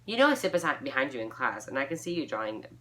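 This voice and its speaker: A woman's voice: very deep voice, very animated, rapid-fire, fairly bright tone. A young woman reading her fan fiction aloud informally, breaking off for giggly, self-mocking asides.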